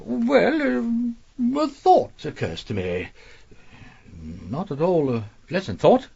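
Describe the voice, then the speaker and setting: An actor playing a smug, evasive gentleman suspect in a radio mystery, pompous and hesitant as he comes under pressure. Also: sits high, sing-song, takes it slowly, vocal fry, slightly rough, dull audio, heavily acted. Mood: flustered, pleading. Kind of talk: radio mystery drama acting.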